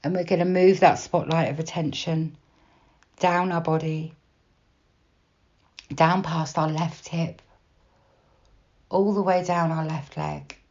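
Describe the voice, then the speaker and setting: A woman guiding a meditation in a slow, soft, unhurried manner with long pauses between phrases. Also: low-pitched, fairly even intonation, muffled recording. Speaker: meditation guide. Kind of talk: guided body scan meditation, gentle instruction.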